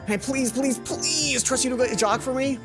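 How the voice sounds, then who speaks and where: A woman's voice: high voice, brisk, voice pushed up. A woman voicing a flustered schoolboy in a game, urgent, rambling and pleading, with music behind. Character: flustered, pleading schoolboy robot-builder with a theater emergency.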